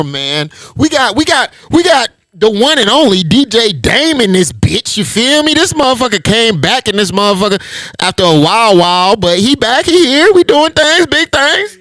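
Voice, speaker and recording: A man's voice: sits high, very animated, moderate pace, a bit bright, no echo. Man from las vegas, podcast host, hyped and loose, profane slang, dry close sound. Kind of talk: casual podcast interview.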